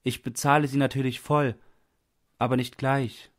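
A man voicing a freezing, penniless man who calls out loudly and pleads imploringly in an audiobook story. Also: mid-range voice, flat delivery, normal speed, pitched above the performer's own voice, natural voice. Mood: humble begging.

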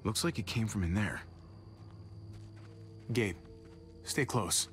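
An actor playing a gruff, protective man surviving a zombie apocalypse in a video game, muttering in terse, understated lines. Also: lowish voice, takes it slowly, music in the background. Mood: watchful, protective. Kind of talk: naturalistic video game voice acting.

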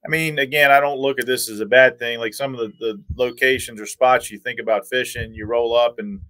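A man's voice: medium pitch, fairly even intonation, fairly quick, a bit bright, dry recording, low-quality recording. Middle-aged man, dry close sound. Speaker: podcast guest, tournament angler. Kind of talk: casual storytelling chat. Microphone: video call.

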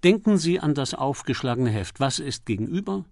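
A man's voice: mid-range voice, expressive, brisk, vocal fry, darkish tone, studio quality. Male narrator doing posh amateur detective guy, polite, coaxing, sure of himself, audiobook, no room echo. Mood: patient probing. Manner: courteous, coaxing, questioning. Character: suave male aristocratic amateur detective, patient, probing, confident.

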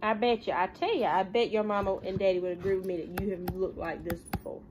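A woman's voice: mid-range voice, steady tone, quick pace, noisy background. A young woman, talking informally to pupils and parents in a folksy, plain-spoken way with rhetorical questions. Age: young adult. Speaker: schoolteacher. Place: living room at home.

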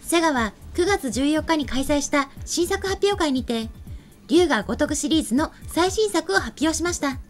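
High-pitched, polite young woman presenter. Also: lively intonation, normal speed, soft background music. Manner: polite, orderly. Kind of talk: polite scripted announcing and light chat. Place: small indoor streaming studio. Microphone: desk microphone.

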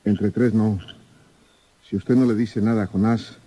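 A man's voice: lowish voice, steady tone, measured pace, mellow, breathy edge, no echo. An actor playing an uneasy gangster henchman guarding a secret in a radio drama. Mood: uneasy, pleading. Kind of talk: Mexican radio drama acting.

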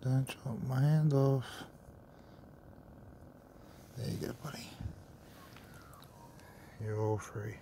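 A man's voice: medium pitch, slow, gravelly, mellow, slight background noise. A young Australian man giving casual fishing tips on a harbour wharf at night, rambling and swearing now and then, filmed on a phone. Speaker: fishing vlogger. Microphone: phone camera held close.

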